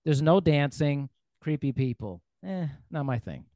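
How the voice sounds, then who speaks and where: A man hosting a podcast, talking in a relaxed, rambling way with frequent fillers. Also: fairly high pitch, unhurried, no room sound, dull audio. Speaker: podcast host. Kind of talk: casual podcast conversation.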